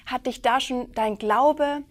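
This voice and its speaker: A woman's voice: sits high, slow pace. A woman hosting an interview, friendly and attentive, asking questions with many listening sounds. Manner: friendly, asks questions, many "mhm" fillers. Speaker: host. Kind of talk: interview host.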